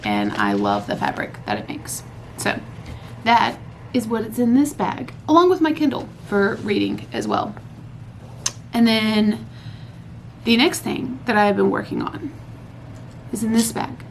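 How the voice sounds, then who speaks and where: A woman, hosting a casual craft podcast from home at night, a bit hoarse after losing her voice, chatty and rambling. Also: medium pitch, a little hiss or hum. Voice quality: hoarse, recovering from lost voice. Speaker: podcast host. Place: home at night, laundry running.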